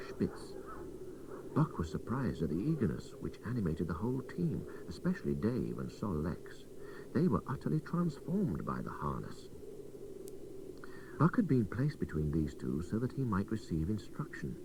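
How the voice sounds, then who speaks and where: A deep-voiced man narrates a literary story with a wide, animated range of pitch. His delivery is measured and dramatic. It comes from an old taped radio broadcast, slightly distant with faint hiss. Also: vocal fry. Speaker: story reader.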